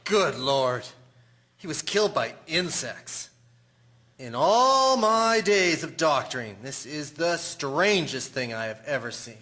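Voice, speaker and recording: A man acting as a pious, dramatic old-time doctor in a radio-style play. The voice is bright and very animated, rising and falling widely. His delivery is emphatic and stagey. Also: fairly high pitch, slow pace, full character voice. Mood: horrified. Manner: theatrical, emphatic. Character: grave, dramatic male Victorian doctor, baffled and pious. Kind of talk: scripted audio drama acting.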